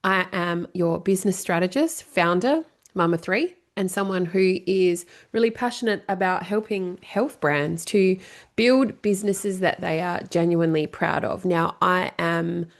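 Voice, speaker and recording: A woman in her thirties with an Australian accent, hosting a solo podcast in a chatty, rambling way, her voice still a little croaky. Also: fairly low pitch, unhurried. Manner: chatty, rambling, lots of "you know" and "like". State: slightly croaky. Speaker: podcast host.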